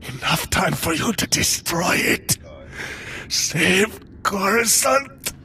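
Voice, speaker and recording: Wounded man, breathless, strained and creaky, pained, regretful, video game acting. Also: sits high, expressive, takes it slowly, bright, forceful tone, a little hiss or hum, exaggerated voice. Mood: fading, urgent. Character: mortally wounded, remorseful male technician gasping out warnings.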